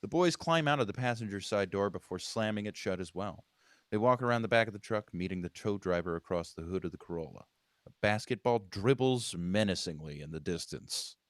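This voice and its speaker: A man's voice: fairly low pitch, lively intonation, a little fast, dry recording, clean studio sound. A man reading screenplay stage directions aloud as scripted narration at a table read.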